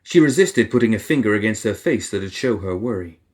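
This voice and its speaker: A man reading a fiction story aloud as an expressive audiobook narrator. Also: lowish voice, brisk. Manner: expressive, takes on characters' inner voices.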